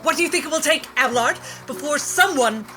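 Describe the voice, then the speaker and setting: A woman's voice: high-pitched, animated, fairly quick, pitched above the performer's own voice. A woman voicing a blunt older woman who is fed up with not being heard. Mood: furious. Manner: exasperated, swearing. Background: music.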